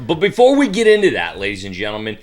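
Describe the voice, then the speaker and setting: A man's voice: lowish voice, wildly swooping pitch, fast. A man hosting a casual podcast with his co-hosts, upbeat and joking, laughing often. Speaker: podcast host.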